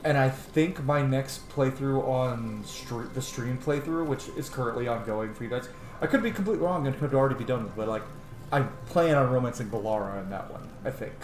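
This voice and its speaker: A man's voice: mid-range voice, a little fast, a little mellow, a little hiss or hum. A man giving casual, rambling commentary as he plays a video game, musing aloud. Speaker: gaming streamer, let's-player. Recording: roomy echo, faint game music. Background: faint game music.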